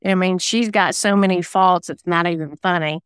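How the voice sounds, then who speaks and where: Female interview guest, very clean smooth voice, chatty, dry close sound. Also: on the low side, moderate pace, no echo. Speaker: interview guest, singer-songwriter and novelist. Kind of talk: casual interview chat.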